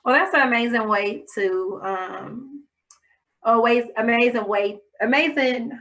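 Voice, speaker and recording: A woman's voice: a little high, measured pace, fairly bright tone. A woman hosting a casual podcast interview over a video call, encouraging and chatty, sometimes fumbling her phrasing. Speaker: podcast host.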